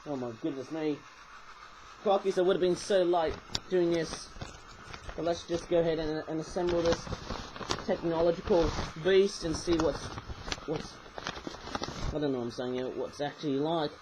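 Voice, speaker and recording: A man with a slightly high, just-woken croak rambles through a casual toy review on a webcam. He loops back, restarts his sentences and throws in chatty asides. A faint hiss sits under his voice. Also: steady tone, normal speed, pauses often.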